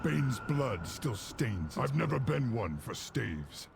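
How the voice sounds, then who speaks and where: Casting: noble orc warrior for a game. Slow, gravelly, grave and terse. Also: male voice, medium pitch, big pitch swings, exaggerated voice. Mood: gruff, appraising. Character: gruff noble orc warrior, mature male. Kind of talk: video game cinematic acting. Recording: noisy game audio with ambience behind.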